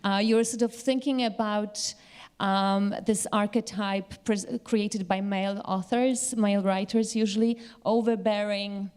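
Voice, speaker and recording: A woman's voice: medium pitch, steady tone, a little slow, a little mellow, clean tone. A woman panelist speaking spontaneously to an audience in an enthusiastic, appreciative way.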